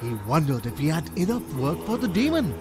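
A man's voice: on the high side, sing-song, a little fast, music in the background. A man narrating a scripted children's story in a dramatic storytelling manner.

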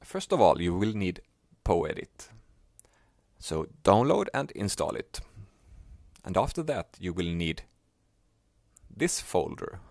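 A man whose first language is Swedish, explaining a software task step by step in a plain, unhurried way. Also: low voice, very animated, vocal fry, no room sound, studio quality. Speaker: software tutorial maker. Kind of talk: screen-recorded software tutorial.

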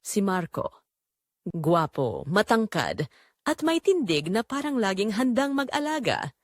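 A woman acts out a middle-aged mother-in-law, telling her own story of secret longing in an audio drama. Her delivery is confessional and measured, with a mid-pitched, very varied melody. The sound is dry and intimate, free of room echo. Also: huge pitch swings. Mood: confessional, yearning. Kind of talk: first-person dramatic storytelling.

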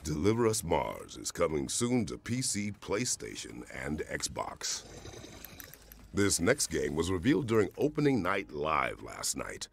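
A man's voice: sits low, wildly swooping pitch, measured pace, creaky, a little hiss or hum. A man announcing a games showcase in scripted promotional narration.

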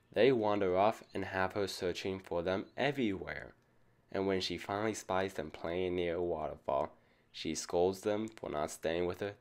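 A man reading a picture book aloud to children, addressing them like a teacher. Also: low-pitched. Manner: asks young listeners questions as a teacher would. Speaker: storybook reader for children.

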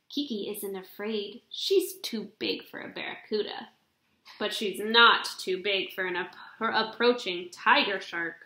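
A young adult woman reading a children's picture book aloud in an expressive, deliberate storybook delivery, recorded in a room at home. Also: a little high. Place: small indoor room at home. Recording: roomy, echoing home webcam recording.

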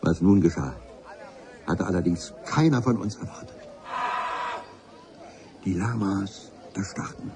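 An actor playing the wry, literate narrator of an adventure radio play, telling it in an ornate, deliberate way. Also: male voice, deep voice, a crowd in the background, background noise. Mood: dramatic storytelling.

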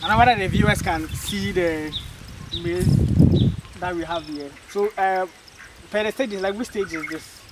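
A man's voice: sits high, a little slow, very noisy. A young man with a Ghanaian accent hosting a farming channel, chatting eagerly with viewers and a guest out in a farm field. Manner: upbeat, chatty, pleads with viewers. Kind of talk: casual outdoor vlog interview. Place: open farm field outdoors. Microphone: handheld phone.

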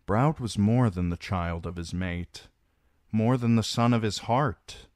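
A man reading a novel chapter aloud in a measured, steady storytelling voice. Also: on the low side, dry recording, clean studio sound. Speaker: audiobook reader.

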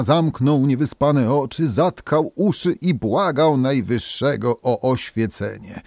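A man narrating a folk tale as a storyteller, in an expressive, theatrical manner. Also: medium pitch, no echo.

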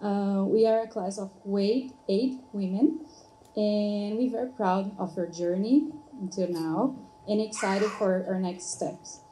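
A woman's voice: medium pitch, steady tone, slow pace, dark tone, a little hiss or hum, rough recording. A woman from Brazil, an ESL student, giving a polite, slightly formal self-introduction.

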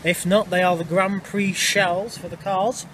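A man's voice: high-pitched, flat delivery. A man giving chatty, explanatory walking-tour commentary, recorded outdoors on a handheld camera. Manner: chatty, explanatory, a bit halting. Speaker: vlogger. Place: outdoors in urban streets and underpass. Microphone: handheld camera mic. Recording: noisy outdoor street sound. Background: traffic and street ambience.

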